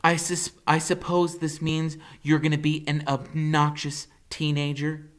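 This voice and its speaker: A man voicing a patient, wry mother, speaking gently and dryly. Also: a little high, completely flat delivery, measured pace, voice pushed up, lightly acted. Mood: gentle wry.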